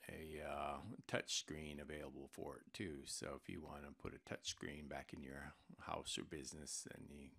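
Male product presenter, extremely deep and gravelly, off-the-cuff explanatory delivery with hesitant pauses. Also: little pitch movement, sharp tone. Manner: halting, informal explaining with mid-sentence pauses. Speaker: product presenter for an intercom seller. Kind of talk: unscripted product walkthrough over screen recording.

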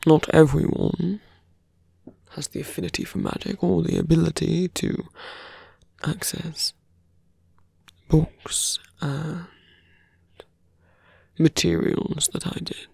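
A man voicing a seductive, sardonic fantasy villain in an intimate, breathy ASMR roleplay, murmuring slowly close to the mic. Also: on the high side, little pitch movement, darkish tone, dry recording, exaggerated voice. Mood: confiding, bitter. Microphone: close microphone, dry.

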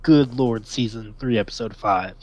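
A man playing in an online tabletop game, chatting in an excitable, rambling way. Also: on the low side, normal speed, lo-fi sound, a crowd in the background. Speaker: player. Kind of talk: casual chat during a tabletop game.

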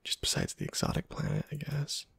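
A man giving soft-spoken ASMR commentary over a video game, husky and often near a whisper, in a rambling, self-deprecating way. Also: on the high side, huge pitch swings, a little fast, no echo. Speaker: host of a gameplay video channel.

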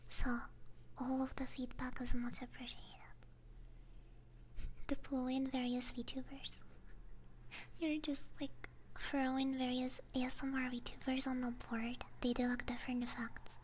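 A woman livestreaming ASMR in a soft breathy whisper, chatting casually with viewers close to a binaural microphone. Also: high voice, level tone, unhurried, a little hiss or hum. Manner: casual, chatty, frequent swearing. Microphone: binaural ear microphone, close up.

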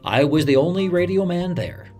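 A male actor plays a radio newsman looking back on a disaster. He tells it as a scripted first-person memoir, earnest and somber. Soft music sits behind the voice. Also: high voice, lively intonation, normal speed.

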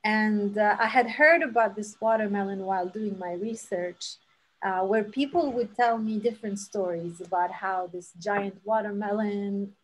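A woman's voice: medium pitch, low-quality recording. A woman in her thirties from Palestine, giving an earnest storytelling talk over a video call from her home, full of fillers and restarts. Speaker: seed saver giving a talk. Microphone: laptop webcam mic on a video call.

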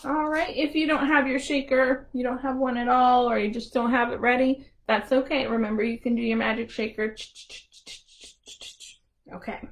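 High-pitched woman leading children's storytime. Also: steady tone, moderate pace. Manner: simple, encouraging talk addressed to toddlers. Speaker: children's librarian hosting storytime. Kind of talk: interactive children's storytime with songs and rhymes.

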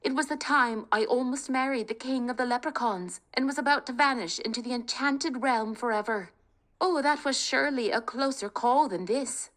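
A woman voicing a clever, bold young peasant woman, talking in a lively, persuasive way. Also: sits high, normal speed, voice pushed up. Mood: coaxing.

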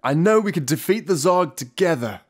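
Earnest, very animated heroic talking dog voiced by an actor. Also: mid-range voice, moderate pace, slightly rough. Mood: encouraging. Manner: earnest, encouraging, melodramatic. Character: devoted talking dog hero, fatherly mentor to a boy. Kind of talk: superhero spoof short film acting. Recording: music under the voice.

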